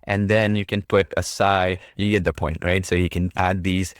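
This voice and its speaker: Deep-voiced man demoing software. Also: little pitch movement, moderate pace, dry recording, studio quality. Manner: upbeat, breezy, says "boom" often. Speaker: product demo host. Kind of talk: casual screen-recorded walkthrough.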